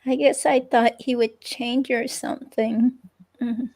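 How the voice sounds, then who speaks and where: Hesitant, creaky-voiced ex-girlfriend, read by a woman. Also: on the high side, little pitch movement, a little slow, darkish tone. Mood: wistful, sheepish. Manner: hesitant, rambling, filler-laden. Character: hurt, rambling ex-girlfriend questioned by police. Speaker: reader in a dramatic reading of an interview transcript. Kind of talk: conversational dramatic reading of a transcript.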